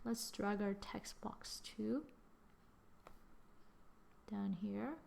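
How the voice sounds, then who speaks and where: A young woman hosting a screen-recorded software tutorial, chatting through each step as she works at her computer. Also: mid-range voice, steady tone, drawn-out words, creaky. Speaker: tutorial host.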